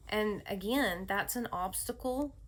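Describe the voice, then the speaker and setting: A woman hosting a spiritual wellness talk, speaking in a calm, soothing voice with a rambling manner and frequent laughs. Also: mid-range voice, unhurried, a little hiss or hum. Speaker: wellness channel host. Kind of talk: casual spiritual talk to viewers.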